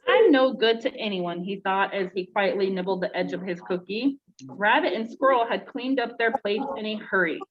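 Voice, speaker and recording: A woman reading aloud to children in an expressive, engaging way. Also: medium pitch, moderate pace. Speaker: storytime reader for children. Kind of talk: read-aloud children's story, then casual chat with children. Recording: dull lo-fi sound, clear background noise.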